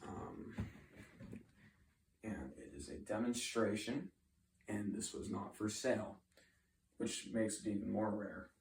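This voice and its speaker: A man casually showing off old records, talking in a relaxed, hesitant way with fillers. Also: fairly low pitch, takes it slowly, vocal fry, long pauses, a little hiss or hum. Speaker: record collector. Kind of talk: casual show-and-tell. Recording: echoey room, distant camera mic.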